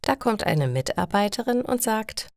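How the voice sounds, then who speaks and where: A woman reading simple stories for language learners as a narrator, in a slow, careful storytelling style. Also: medium pitch, big pitch swings, gravelly, no echo, clean studio sound.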